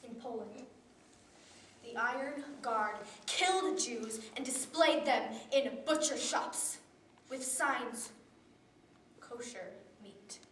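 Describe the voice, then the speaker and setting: A teenage girl giving a memorized competition speech with a solemn, deliberate delivery that drops to hushed tones. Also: fairly high pitch, lively intonation, slight background noise. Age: teens. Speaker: student speaker. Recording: roomy echo from a distant camera.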